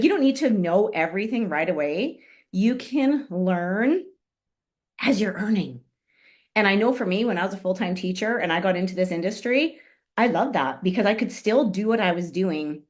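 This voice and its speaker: A woman giving an upbeat motivational business training talk on a call, fighting a cough and often clearing her throat. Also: medium pitch. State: coughing, voice strained. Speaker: guest speaker on a business call.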